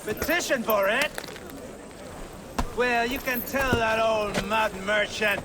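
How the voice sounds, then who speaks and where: A man playing a belligerent, menacing medieval thug in a video game, with a loud, taunting delivery. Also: high-pitched, slow, noisy background. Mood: jeering, demanding. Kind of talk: video game cutscene acting.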